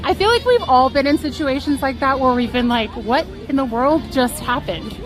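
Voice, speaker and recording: A woman with a high, bright voice tells a story in a chatty, self-mocking way and giggles often. She is outdoors at a theme park, streaming on a phone, with loud park music and a crowd behind her. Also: lively intonation, moderate pace. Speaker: live-stream vlogger. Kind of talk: casual storytelling to a live chat.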